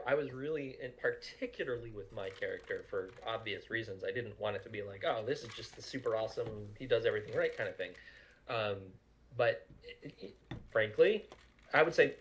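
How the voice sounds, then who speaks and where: A man giving casual commentary, speaking in a halting, self-reflective way. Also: mid-range voice, a little hiss or hum. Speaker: writer and actor of the audio drama.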